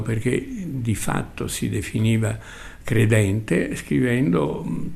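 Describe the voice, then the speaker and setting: An older man reminiscing as a radio host, speaking in a halting, reflective way with repetitions and long hesitations. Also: medium pitch, creaky, darkish tone. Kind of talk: spoken reminiscence, literary commentary.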